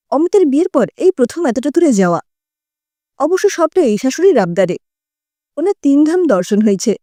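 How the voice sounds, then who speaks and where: A woman narrates a scripted story. Her voice is high and soft-toned, with animated intonation. The sound is dry and close. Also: no echo.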